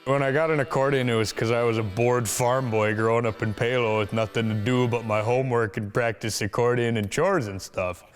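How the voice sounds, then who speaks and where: A man's voice: mid-range voice, steady tone, a little fast, hardly any pauses, soft background music. Male performer from Minnesota, joking, self-deprecating, mid-range voice. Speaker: stuntman, comedian and musician. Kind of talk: casual interview and stage banter.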